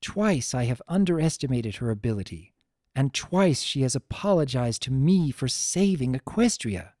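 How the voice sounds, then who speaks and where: A narrator voicing a wise, weary female pony princess, the elder sister, speaking in a measured, solemn and gentle way for a story reading. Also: mid-range voice, expressive, dry recording, studio quality, lightly acted. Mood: fond, self-reproachful.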